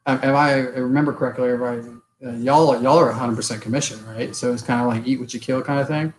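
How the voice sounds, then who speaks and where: A man's voice: mid-range voice, fairly even intonation, fast talker, slight background noise, low-quality recording. A middle-aged man hosting a casual interview, chatting candidly and rambling through his own stories. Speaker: podcast host.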